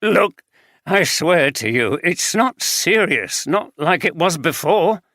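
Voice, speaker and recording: A reader voicing Foster, a nervous middle-aged husband caught gambling, in an audiobook. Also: male voice, fairly high pitch, very animated, slow, fairly bright tone, no room sound, clean studio sound, voice pushed up, exaggerated voice. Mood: pleading. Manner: pleading, evasive, nervous. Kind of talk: audiobook character reading.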